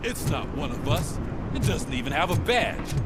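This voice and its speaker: A man's voice: on the high side, sing-song, moderate pace, heavy background noise. A man playing a hostile, contemptuous cop in a video game, scornful and coarse. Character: hostile, contemptuous policeman turned against a cyborg colleague.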